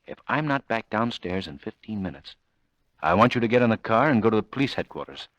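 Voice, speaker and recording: An actor playing a suave, confident amateur sleuth in an old radio mystery, urbane, wry and affectionate. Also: male voice, lowish voice, big pitch swings, brisk, fairly bright tone, no echo, low-quality recording. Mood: brisk, reassuring.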